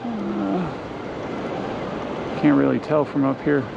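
Gravelly man narrating a fishing trip. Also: a little high, takes it slowly. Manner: relaxed, talking to himself while fishing. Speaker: fishing vlogger. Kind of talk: casual first-person commentary. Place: outdoors on an ocean fishing pier. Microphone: action camera worn on the body. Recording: muffled action-camera audio with wind and surf.

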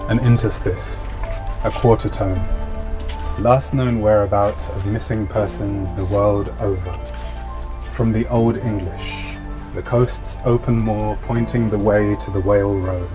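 A man reciting a poem slowly and rhythmically. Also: lowish voice, fairly even intonation, over music, low-quality recording. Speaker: poet. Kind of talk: poetry reading.

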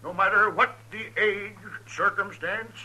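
High, piercing medicine-show huckster, florid. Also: male voice, steady tone, a little slow, bright, forceful tone, breathy edge, full character voice, poor audio. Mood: grandiose salesmanship. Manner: florid, theatrical, grandiloquent. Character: bombastic male traveling medicine-show huckster in the Old West. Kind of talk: 1950s radio western acting, pitchman oratory. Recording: hissy, humming 1950s radio recording.

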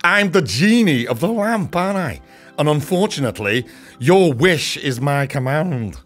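A man's voice: fairly high pitch, big pitch swings, measured pace, soft background music. A man voicing a grumpy, sarcastic genie of the lamp in an emphatic children's storytelling style. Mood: sarcastic, impatient.

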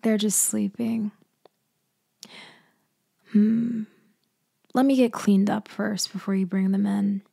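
A woman playing a cool, teasing, controlling mafia leader in an ASMR roleplay, speaking deliberately in a murmur that often drops toward a whisper. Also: mid-range voice, flat delivery, gravelly, slightly rough, dry recording, clean studio sound, exaggerated voice. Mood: cool, businesslike. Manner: slow, deliberate, softly taunting, often dropping to a whisper. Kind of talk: ASMR roleplay audio acting.

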